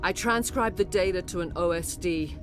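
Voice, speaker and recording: Woman acting a solemn, regal alien mother, low-pitched. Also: over music, lightly acted. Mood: solemn, remorseful. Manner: solemn, measured, formal diction. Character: regal older alien mother, remorseful, mind enslaved. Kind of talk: dramatic video game acting.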